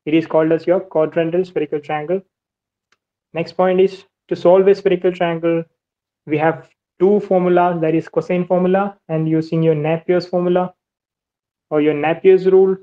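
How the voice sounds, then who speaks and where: A navigation teacher talks through a whiteboard lesson in a flat, even tone, going step by step and repeating each point. The recording is dull and lo-fi, like a muffled voice-over. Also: medium pitch, moderate pace. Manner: methodical, step-by-step explaining with much repetition.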